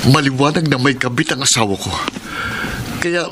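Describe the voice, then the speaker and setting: An actor in a radio soap playing a wounded, brooding betrayed husband with emotional, dramatic delivery. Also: male voice, mid-range voice, moderate pace, background noise, close to an everyday voice. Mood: aggrieved. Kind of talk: Filipino radio soap acting. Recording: loud music behind radio drama. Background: loud dramatic music.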